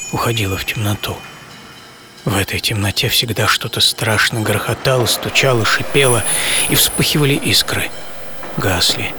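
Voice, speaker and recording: An actor playing an aged, wry psychoanalyst who recalls his life in a theatrical radio-play monologue with pauses. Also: fairly low pitch, background noise, close to an everyday voice. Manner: theatrical, short phrases with pauses. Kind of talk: dramatic first-person monologue, radio play. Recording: music behind the voice.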